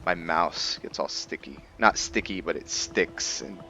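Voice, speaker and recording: A man's voice: low voice, measured pace, vocal fry, sharp tone, a little hiss or hum. A man giving casual gameplay commentary, thinking aloud as he plays. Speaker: gamer narrating own roleplay playthrough. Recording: slightly muffled, game music behind. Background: video game music.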